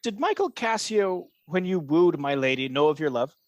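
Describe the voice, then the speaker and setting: A man's voice: on the high side, lively intonation, moderate pace, no echo, lo-fi sound, close to an everyday voice. A man playing a sly soldier in a Shakespearean radio play, hesitant and deferential while insinuating. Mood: probing, guarded.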